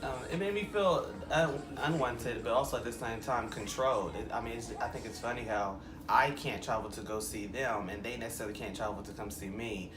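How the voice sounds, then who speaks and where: A man's voice: medium pitch, a little fast, few pauses, over music. A young man answering interview questions in a frank, reflective way. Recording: echoey room with noise behind.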